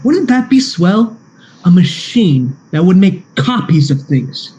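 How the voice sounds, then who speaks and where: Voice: medium pitch, big pitch swings, slow, dark tone. A chipper, bouncy 1940s radio actor, as a performer plays it. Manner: chipper, enthusiastic. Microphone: video call.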